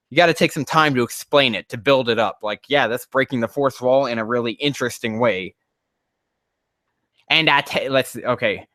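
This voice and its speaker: A man with a bright, forceful voice reads amateur fiction on a livestream, captured very dry. He keeps stepping out of the text to critique it in rambling, profane, exasperated asides. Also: mid-range voice, no echo. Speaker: streamer reading fan fiction aloud. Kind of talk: casual livestream reading with running critique.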